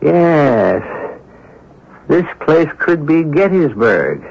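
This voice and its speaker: A middle-aged American rogue, glib and urbane, with a fairly high, animated voice. He sounds like a gentleman thief in an old radio play, on a dull recording. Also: drawn-out words, creaky, slightly rough, heavily acted. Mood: urbane, curious. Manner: glib, urbane, playfully evasive. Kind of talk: old-time radio drama acting.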